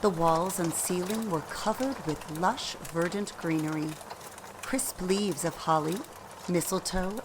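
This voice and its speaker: A woman with a fairly low voice narrates a radio play slowly and expressively. Her storytelling is deliberate, with a faint noise behind it.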